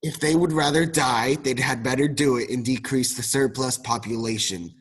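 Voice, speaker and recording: A man's voice: mid-range voice, moderate pace, husky, lightly acted. An actor in an amateur radio play, playing a grumpy, miserly old businessman who is curt and scornful. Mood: cold, dismissive.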